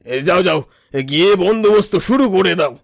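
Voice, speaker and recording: A man voicing a pompous, boastful male lion who is king of the forest in a cartoon, with a gravelly voice. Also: high voice, a little fast. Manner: grand, patronizing, boastful. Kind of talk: cartoon voice acting. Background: background music.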